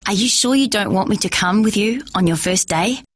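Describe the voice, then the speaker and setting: A woman voicing a gentle, slightly fussy mother in a storybook reading, with a husky voice. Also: medium pitch, animated, brisk, no room sound, studio quality. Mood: caring.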